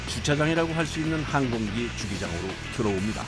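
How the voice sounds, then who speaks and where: A man's voice: mid-range voice, animated, quick pace. A man reading scripted documentary narration. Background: background music.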